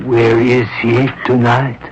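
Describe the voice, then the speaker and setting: Man playing a slow, solemn fatherly priest. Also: mid-range voice, monotone, close to an everyday voice. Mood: grave, probing. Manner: solemn, measured, consoling. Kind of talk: 1980s radio drama acting. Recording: dull, noisy old radio recording.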